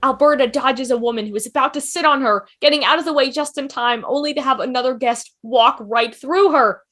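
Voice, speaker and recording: Very high-voiced woman reading stage directions. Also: a little fast, a bit bright. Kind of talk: scripted narration for a table read.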